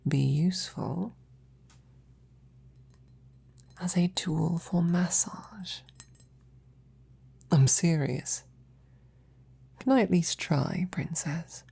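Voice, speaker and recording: A woman playing a devoted, tenderly teasing royal knight in an intimate roleplay audio, with breathy, often whispered, courtly speech. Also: low voice, mellow, exaggerated voice. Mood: gentle, earnest. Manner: slow, tender, courtly phrasing.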